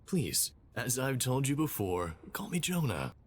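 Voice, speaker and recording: An actor playing a smooth, courteous but condescending company executive in an audio drama, with a suave, patronizing, unhurried manner. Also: male voice, medium pitch, very animated. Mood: genial. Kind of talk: scripted audio drama acting. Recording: clearly noisy background ambience.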